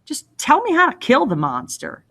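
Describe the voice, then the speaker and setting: A woman's voice: sits high, huge pitch swings, moderate pace, sharp tone, pitched above the performer's own voice, exaggerated voice. A woman voicing a bold, reckless young male hero in a storybook reading, earnest and defiant. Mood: bold, persuasive.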